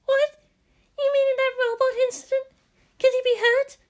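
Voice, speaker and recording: A woman's voice: extremely high pitch, little pitch movement, normal speed, pitched above the performer's own voice. A woman voicing an earnest, anxious schoolgirl class representative in a fan fiction reading. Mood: worried.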